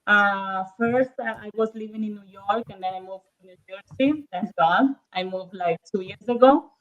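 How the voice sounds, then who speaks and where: A woman's voice: mid-range voice, moderate pace, lo-fi sound. A woman from northern Italy with an Italian accent, speaking English as a second language, chatting as a podcast guest with hesitant fillers and restarts. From Verona, northern Italy. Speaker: guest realtor. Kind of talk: casual interview chat.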